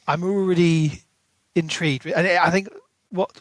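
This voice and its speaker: A man's voice: high-pitched, fairly even intonation, a little slow, fairly bright tone, no room sound. A man co-hosting a book podcast, chatting casually and enthusiastically, with plenty of ums and restarts. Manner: chatty, filler-heavy, enthusiastic. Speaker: podcast co-host.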